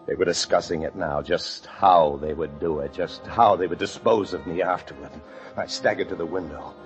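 An actor playing a paranoid fugitive husband, narrating an old-time radio thriller with intense dread. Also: male voice, low-pitched, normal speed, lightly acted. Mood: brooding dread. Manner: intense, breathless dread, swinging from brooding to frantic shouts. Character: desperate, paranoid fugitive husband confined in a locked room. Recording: dull old radio recording with music.

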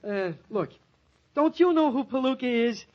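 High-voiced, excitable radio lug. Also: male voice, a little fast. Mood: insistent. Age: young adult. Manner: stammering, slangy, blustering. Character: hapless, excitable, slangy young lug, loyal pal. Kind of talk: old-time radio comedy acting. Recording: muffled, noisy old radio recording.

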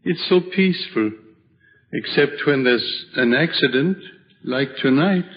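An actor playing a kindly, serene old widowed hermit in a slow, solemn radio drama style. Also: male voice, medium pitch, natural voice. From Switzerland (the figure). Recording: dull vintage radio recording.

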